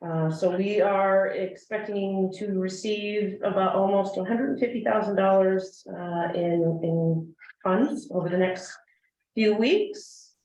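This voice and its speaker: A woman reads through a finance report at a meeting, halting often with fillers. She sounds set back from the microphone, and the room rings with echo. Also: medium pitch, darkish tone. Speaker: finance officer giving report. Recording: echoey room, far from mic.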